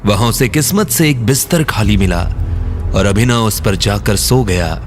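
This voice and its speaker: A man's voice: fairly low pitch, animated, moderate pace, faint music behind. A man reading scripted narration as the storyteller of an audio drama.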